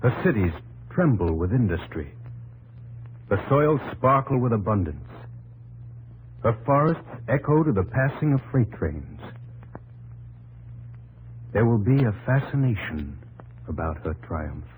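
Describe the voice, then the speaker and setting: An actor playing a vigorous, idealistic young newspaper editor in a 1950s radio drama, speaking in a resolute, oratorical way. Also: male voice, on the low side, very animated, unhurried, long pauses. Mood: visionary. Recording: noisy old radio recording.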